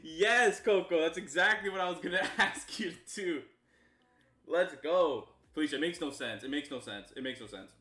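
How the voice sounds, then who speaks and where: A young man from Canada, streaming live and chatting loosely with viewers, jumping between low mutters and loud outbursts. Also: moderate pace, roomy sound. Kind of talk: casual stream chat with viewers.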